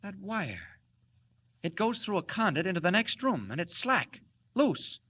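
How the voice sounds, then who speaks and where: A timid newspaper reporter in a 1940s radio serial. His voice is high and bright, swinging widely as he speaks with flustered earnestness. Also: big pitch swings, a little slow, husky, exaggerated voice. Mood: anxious. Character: timid, anxious, mild-mannered male newspaper reporter.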